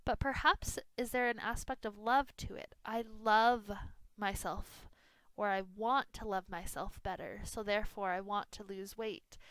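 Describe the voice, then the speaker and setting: A woman teaching guided meditation, speaking soothingly in unhurried phrases with pauses. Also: medium pitch, fairly even intonation, a bit bright, clean tone, no echo. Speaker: yoga nidra meditation teacher.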